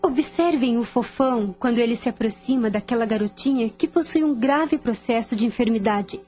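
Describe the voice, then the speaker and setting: A woman's voice: fairly high pitch, normal speed, lightly acted. A Brazilian woman voicing a serene spirit nun who instructs students in a radio drama, formal and reverent. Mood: serene, instructive. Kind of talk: radio drama acting, didactic explanation. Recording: dull lo-fi audio. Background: music.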